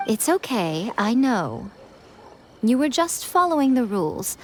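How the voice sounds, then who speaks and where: A woman's voice: a little high, big pitch swings, measured pace, a little mellow, slight background noise. A woman playing a sly, regal lady in a video game, teasing and poised. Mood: gracious. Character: sly, playful, regal lady shrine head.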